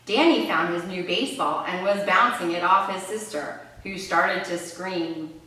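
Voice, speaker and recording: Low-voiced woman presenter in an echoey room. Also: measured pace. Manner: expressive, with conversational asides and questions to listeners. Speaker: reading tutor and read-aloud presenter. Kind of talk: read-aloud storytelling and instructional explanation. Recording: roomy echo, distant microphone.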